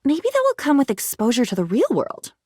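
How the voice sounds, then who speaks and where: A newly self-aware object come to life in a video game, speaks in a high, very animated voice. She is naive and devoted, with an earnest, quaintly formal delivery. The sound is close and dry. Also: a little fast, husky, no room sound, heavily acted. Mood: excited, adoring. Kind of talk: video game character acting.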